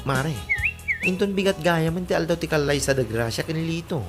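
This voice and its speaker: An actress playing a frank, gossipy middle-aged village neighbor in a comedy radio drama, with an Ilocano accent. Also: female voice, sits low, animated, brisk, over loud music. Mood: chatty. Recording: very noisy background.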